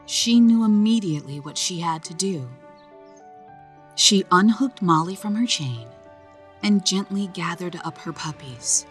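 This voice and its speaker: A woman narrator reading a children's story in a gentle, expressive storytelling manner. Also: on the low side, measured pace, soft background music.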